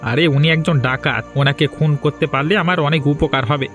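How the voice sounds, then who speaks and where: A man's voice: a little high, fairly even intonation, fairly quick, a bit bright, close to an everyday voice. A man playing a scheming, menacing bandit and kidnapper in a Bengali cartoon. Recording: music bed under the voice. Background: background music.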